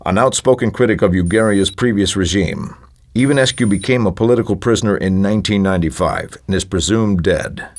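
An authoritative spy agency briefer voiced by a man in a video game. He speaks formally in a measured, fairly low voice, as in an official scripted briefing. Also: lightly acted. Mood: official, grave.

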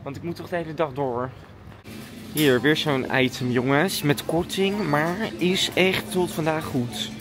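A woman's voice: deep voice, measured pace, noisy background. A woman chatting casually and informally to her vlog audience, thinking aloud as she goes. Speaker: daily vlogger and dancer.

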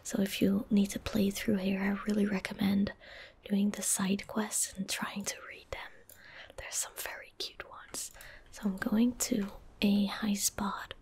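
A young woman doing soft-spoken ASMR gameplay commentary, gentle and breathy, close on a studio microphone. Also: medium pitch, level tone. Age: young adult. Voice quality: breathy, whispered. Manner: gentle, unhurried, casual. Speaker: ASMR game streamer. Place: indoor streaming room.

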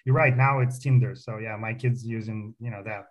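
A man's voice: on the low side, steady tone, normal speed. A middle-aged man from Russia with a Russian accent, a company founder chatting on a radio show, with many fillers and restarts. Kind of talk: casual radio interview. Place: radio studio.

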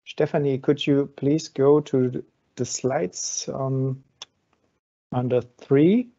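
A man's voice: mid-range voice, fairly even intonation, slow pace, dark tone. A man hosting a webinar, answering audience questions step by step with many fillers over a video call. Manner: explains step by step with frequent fillers. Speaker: webinar host from an exchange. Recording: dull lo-fi video call audio.

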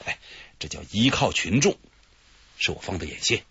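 An actor playing a cheerful, boastful detective leading a stakeout in a radio drama, with a husky voice. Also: male voice, low voice, expressive, normal speed, pushed and bright, dull audio, exaggerated voice. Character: cheerful, boastful middle-aged male police detective. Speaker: police detective leading the operation. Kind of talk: broadcast radio drama acting.

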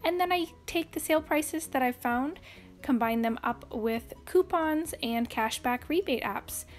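A woman from Canada narrating a grocery haul as a voice-over, listing prices and savings in a brisk, upbeat way. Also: sits high, animated. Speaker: couponing channel host. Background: faint background music.

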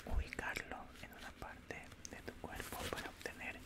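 A young man playing a calm, politely menacing alien doctor in a soothing whispered ASMR roleplay, close to the microphone. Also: lightly acted. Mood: reassuring. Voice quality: soft, breathy unvoiced whisper. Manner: slow, soothing, matter-of-fact. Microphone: close studio microphone.

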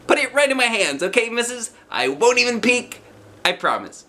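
Storybook kindergarten girl, played by a man: high, squealing, gushing, bright and wildly animated. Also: moderate pace, full character voice. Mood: thrilled. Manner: childlike, squealing, breathless enthusiasm. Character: excitable little kindergarten girl, gushing and blunt.

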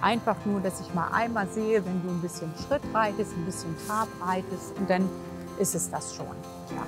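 A woman's voice: medium pitch, normal speed, over music. A woman working as a horse physiotherapist, chatting casually and encouragingly outdoors, with colloquial praise and coaxing. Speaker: horse physiotherapist assessing a rider and mare.

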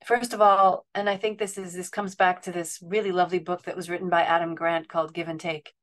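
Forties woman, video-call panelist; candid, meandering delivery, slightly low pitch. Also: level tone, a little fast. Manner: candid, rambling, frequent restarts and fillers. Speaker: webinar panelist. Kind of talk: casual panel talk. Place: home room with bookshelves. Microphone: video call webcam.

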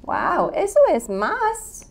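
A woman's voice: high voice, big pitch swings, full character voice. A woman voicing a playful, curious, childlike bilingual puppet monster in a children's read-aloud, speaking in simple phrases. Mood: eager, curious. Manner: slow, simple, echoing phrases in Spanish and English.

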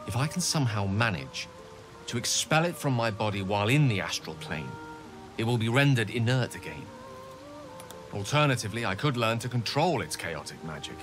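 An actor voicing an eloquent, theatrical human wizard in a video game, speaking in articulate, wordy, confessional dialogue. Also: male voice, lowish voice, lightly acted.

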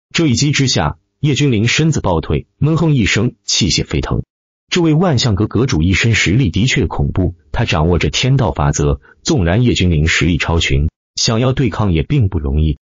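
Male audiobook narrator, low and husky, theatrical scripted delivery, close dry sound. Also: no room sound, muffled recording. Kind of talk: dramatic scripted web-novel narration.

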